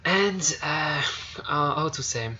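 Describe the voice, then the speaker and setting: French-accented man, halting gaming commentary. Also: fairly high pitch, pushed and bright, highs cut off, slight background noise. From France. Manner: halting, searching for words, many fillers and repeated starts. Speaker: gaming YouTuber. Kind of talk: casual game review commentary.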